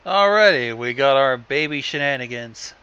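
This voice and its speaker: A man's voice: medium pitch, expressive, normal speed, sharp tone, smooth. A man giving casual commentary on a story reading, through a dull, noisy microphone. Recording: dull, lo-fi microphone with background noise.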